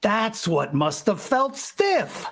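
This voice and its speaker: A woman's voice: medium pitch, wildly swooping pitch, takes it slowly, exaggerated voice. A woman playing an easygoing, dismissive husband in a vintage-style radio play, with an offhand manner. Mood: casual. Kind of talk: vintage radio drama acting.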